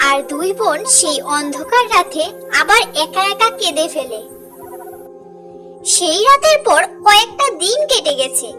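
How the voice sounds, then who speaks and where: A very high-pitched voice narrates a cartoon story. The delivery is dramatic and storybook-like, with lively rises and falls. Music plays underneath. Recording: music behind the voice.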